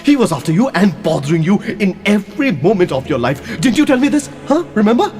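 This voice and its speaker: An actor playing a young man from India with an Indian English accent, swinging between outbursts and hushed pleading in an audio drama. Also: high voice, sing-song, brisk, soft background music. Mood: accusing. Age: young adult. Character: young man Raj, pleading with old college love. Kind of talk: amateur audio drama acting.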